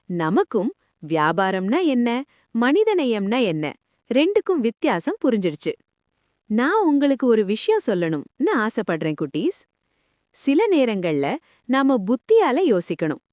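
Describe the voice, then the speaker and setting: Lady doing kids stories, highish lively voice, really swoopy, dry recording. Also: no room sound. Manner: animated, addresses listeners affectionately. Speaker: children's storyteller. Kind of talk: expressive storytelling addressed to young children.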